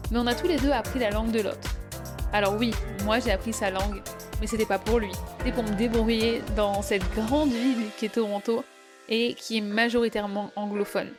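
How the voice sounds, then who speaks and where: Animatrice de podcast française, voix de hauteur moyenne, conversation amicale, musique discrète derrière. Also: female voice, mid-range voice. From southeast France. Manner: friendly, conversational, with frequent fillers. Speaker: podcast host. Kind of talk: casual podcast monologue.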